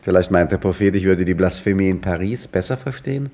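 An older actor playing an old caretaker and storyteller, dry and ironic, in a 1950s radio drama. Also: male voice, deep voice, fast talker, a little mellow, lightly acted. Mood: wry teasing. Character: old male caretaker, wry wise storyteller. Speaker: caretaker telling a tale.

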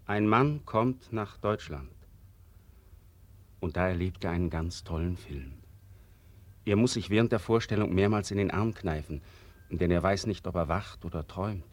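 An actor playing the solemn, bitter narrator of a 1940s radio play, speaking gravely and with deliberate emphasis. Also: male voice, fairly low pitch, darkish tone, dry recording. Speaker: prologue narrator.

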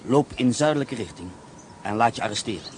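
An actor playing an escaped German prisoner of war, calm and matter-of-fact as he lays out his plan. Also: medium pitch, a little fast, noisy background, natural voice. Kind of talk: Dutch radio play acting.

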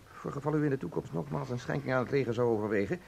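A man's voice: medium pitch, racing, gravelly, a little mellow, slight background noise. An actor playing an aggrieved, bookish man in a divorce, insistent and agitated, in a Dutch radio play. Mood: bitter, sarcastic.

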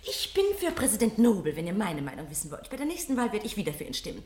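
An actress playing a smug, shallow, callous housewife in a radio drama, prim, gossipy and opinionated. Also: female voice, medium pitch, big pitch swings, quick pace, a little mellow, close to an everyday voice. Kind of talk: 1970 German radio drama acting. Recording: roomy old radio studio.

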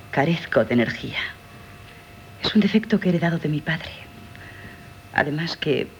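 An actress playing a dutiful young daughter longing to escape, earnest and hesitant, in Castilian Spanish radio drama. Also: female voice, low voice, dull audio, a little hiss or hum. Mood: confiding, rueful.

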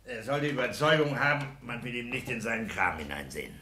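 Ein Mann spielt in einem Hörspiel der sechziger Jahre einen polternden, herrischen, pedantischen kleinbürgerlichen Ehemann und Beamten. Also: male voice, mid-range voice, fast, pushed and bright, a little hiss or hum, close to an everyday voice. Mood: pedantic. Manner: pedantic, domineering, emphatic. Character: blustering, bullying petty-bourgeois husband and civil servant. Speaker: radio play actor. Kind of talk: 1960s German radio play acting.

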